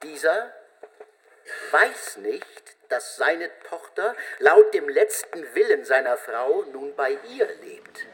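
Man, radio drama narrator, fairly high pitch, measured scripted delivery, literary storytelling. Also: sing-song, fairly bright tone.

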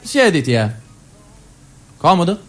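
A man acts out a sardonic, menacing party official with a high voice. The delivery is theatrical radio drama, in a very echoey space with faint music. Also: sing-song, takes it slowly, a bit bright, full character voice.

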